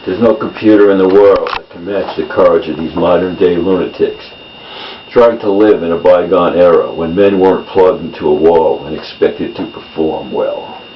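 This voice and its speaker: A man reading his own poems in a measured delivery, in short phrases. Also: low-pitched. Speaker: poet. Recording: roomy, distant mic, dull sound, faint noise.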